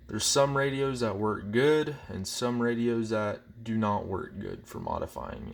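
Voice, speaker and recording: A young man with a fairly low voice talks at a very slow pace. He rambles casually and bluntly, poking fun at himself, like a hobbyist showing off a collection in a vlog. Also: slight background noise. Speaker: collector presenting weather radios. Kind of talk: casual show-and-tell vlog.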